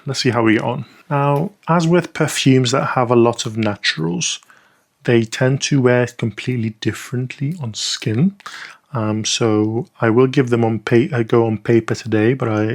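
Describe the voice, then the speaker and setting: A man talks through a set of perfume samples in an easygoing, unscripted way. His voice sits in an ordinary middle pitch. He fills pauses with ums and thinks out loud as he goes. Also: creaky. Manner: chatty, frequent ums, thinking aloud. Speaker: perfume reviewer. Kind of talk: casual first-impressions review.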